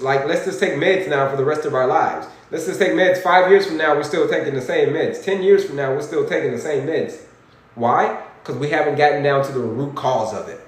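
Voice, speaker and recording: Hyped-up guy in his 40s coaching live, kinda high voice, super echoey room. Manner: animated, repetitive, preachy, talks to viewers as folks. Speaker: health coach livestream host. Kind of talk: energetic motivational livestream, reading chat comments.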